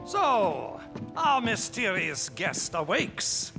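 An actor playing a wise old man, a grave mentor in a video game, speaking formally and with measured weight. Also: high-pitched, wildly swooping pitch, full character voice. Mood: welcoming. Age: older. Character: old male expedition survivor, wise, grave village mentor. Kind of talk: dramatic video game cutscene acting. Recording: clear music under the dialogue.

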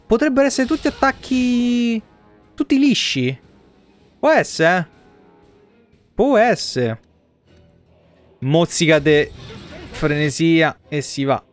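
A man's voice: high-pitched, expressive, takes it slowly, long pauses. A man, giving informal, colloquial live commentary on a video game. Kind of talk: casual live gameplay commentary. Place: small indoor room at a desk. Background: game music.

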